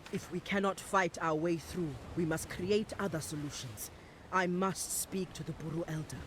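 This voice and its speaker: An actress playing an earnest, resolute priestess in a fantasy game, speaking formally and deliberately. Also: female voice, fairly low pitch, close to an everyday voice. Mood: firm. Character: earnest, resolute priestess leader of an island people. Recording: noisy ambient background.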